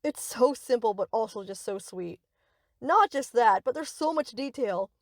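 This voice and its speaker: A woman's voice: on the high side, lively intonation, moderate pace, dry recording, clean studio sound. A woman playing a young yandere captor in a scripted roleplay, speaking to the listener with cutesy, rambling, possessive affection. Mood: affectionate, rambling. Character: sweet, obsessive yandere best friend, young woman captor.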